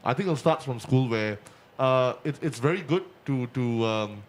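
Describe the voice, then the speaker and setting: A man's voice: mid-range voice, normal speed. A young Singaporean man with a Singaporean English accent whose mother tongue is Punjabi, speaking emphatically on a community panel. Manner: animated, emphatic, repeats points. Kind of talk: casual panel chat.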